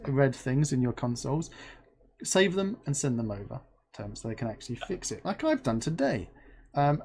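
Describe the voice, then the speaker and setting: A livestream host chatting playfully with a guest and reading chat aloud, bubbly and expressive. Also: medium pitch, breathy edge. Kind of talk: live-stream interview and chat reading.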